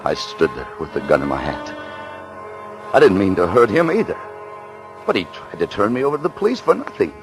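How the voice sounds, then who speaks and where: A desperate, down-on-his-luck American husband in a vintage radio thriller, with a very low voice. He is nervous and stammers as he confesses, with music behind him on the old recording. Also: very animated, a little fast, long pauses. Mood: shaken, panicky. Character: panicky, down-on-his-luck husband on the run, narrating his crime. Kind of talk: mid-century American radio thriller acting.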